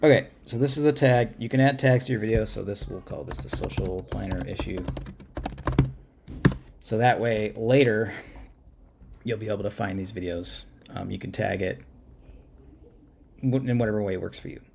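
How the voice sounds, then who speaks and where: A man with a very low, gravelly voice talks through a software tutorial in an informal way. He pauses and thinks aloud as he goes, unscripted and casual. There is noticeable background noise, with keyboard clicks around his speech. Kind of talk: casual unscripted screen-share walkthrough. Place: indoors at a computer.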